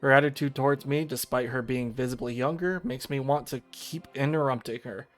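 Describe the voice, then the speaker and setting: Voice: mid-range voice, a little fast, soft background music. A streamer reading a visual novel aloud, narrating in a casual storytelling style.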